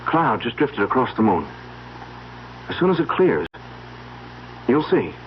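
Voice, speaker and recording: Suspicious young husband, very animated delivery, earnest, vintage radio drama, background hiss. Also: male voice, mid-range voice, measured pace. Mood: shocked, incredulous. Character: uneasy, suspicious young husband, troubled and incredulous. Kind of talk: old-time radio mystery acting. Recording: dull, noisy old radio recording.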